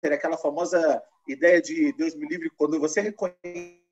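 A man's voice: on the high side, fairly even intonation, normal speed, soft background music. A man hosting a live-streamed interview, talking in a loose, conversational way and asking long, rambling questions. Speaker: host. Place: lives in Israel. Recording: muffled lo-fi stream audio.

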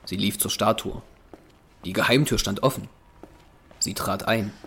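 A man narrating a scripted horror audio drama in German, with measured storytelling delivery. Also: on the low side, a bit bright, a little hiss or hum. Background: music.

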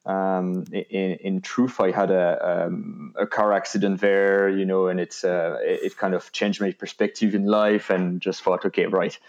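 A man from France speaks with a French accent in a very low voice, as a podcast guest telling his story. He stops and restarts often, searching for words. The sound is muffled and lo-fi. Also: little pitch movement, unhurried, few pauses, a little mellow. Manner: hesitant, many restarts and fillers. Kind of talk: casual interview talk. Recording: dull lo-fi sound.

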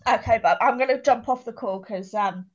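A woman's voice: a little high, normal speed. A woman calling in to a show, speaking in a hesitant, subdued, casual way. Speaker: caller and writer of the tribute. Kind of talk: casual call chat. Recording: dull, quiet call audio.

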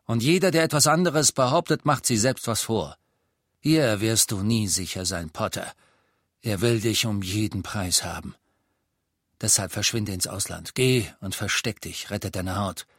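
A man voicing a bitter old male tavern keeper who talks bluntly and scornfully, often slowly and with weight. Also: fairly low pitch, fairly bright tone, dry recording, studio quality. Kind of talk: audiobook character voice.

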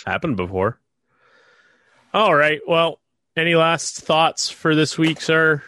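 A man's voice: a little high, animated, slow, bright, forceful tone, dry recording, clean studio sound. A stuffed-up man hosting a casual sports podcast, rambling with lots of fillers. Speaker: podcast host.

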